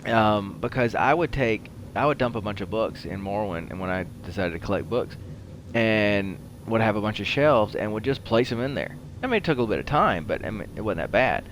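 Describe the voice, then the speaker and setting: A man giving casual commentary as he plays a video game, rambling and halting as he goes. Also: lowish voice, lively intonation, a little hiss or hum. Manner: rambling, halting, talks while playing. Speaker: host of a gaming show.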